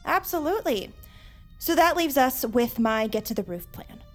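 A woman's voice: sits high, lively intonation, faint music behind. Bossy, determined teenage girl. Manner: brisk, assertive, sure of herself. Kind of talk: audio drama dialogue.

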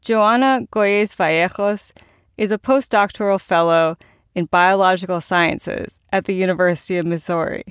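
A woman hosting a science podcast, reading scripted narration in a measured, explanatory way. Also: fairly low pitch, clear, resonant tone, dry recording, studio quality. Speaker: podcast host.

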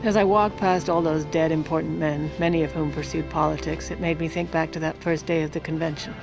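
A woman's voice: low-pitched, steady tone, fairly quick, gravelly, low-quality recording. Sardonic, scheming First Lady over music. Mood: wry, brooding. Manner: dry, self-justifying, theatrical. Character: scheming, abrupt, sardonic former First Lady narrating her downfall. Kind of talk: scripted audio-drama narration and dialogue.

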